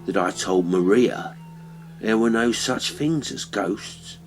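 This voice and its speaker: A man's voice: on the low side, slow, faint music behind, low-quality recording. A man reading an audiobook horror story, playing an earnest working-class stonemason with a serious, matter-of-fact manner. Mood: uneasy. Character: Atkinson, earnest working-class male monumental mason.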